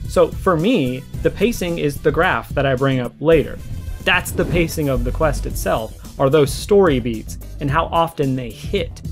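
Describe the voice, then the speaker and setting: Young male video essayist, higher-pitched, conversational and explanatory, music bed underneath. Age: young adult. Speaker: video essayist responding to a streamer. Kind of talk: conversational argumentative video commentary.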